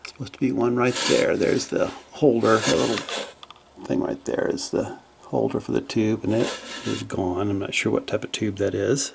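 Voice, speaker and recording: A man giving casual, unscripted narration as a hobbyist repairer at his workbench, low-key and hesitant as he thinks aloud. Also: creaky, a little hiss or hum. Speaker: hobbyist electronics repairer presenting his project. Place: small indoor home workshop at a bench.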